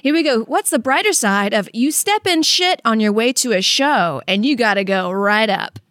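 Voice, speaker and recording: Playful, lively woman co-host. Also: on the high side, moderate pace, dry recording, clean studio sound. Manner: teasing, goofy jokester. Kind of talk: playful comedy podcast banter.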